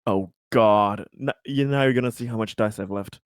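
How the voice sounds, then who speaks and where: A man chatting casually as a player in a tabletop game, playful and expressive. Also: fairly low pitch, moderate pace, clear, resonant tone, no echo, studio quality.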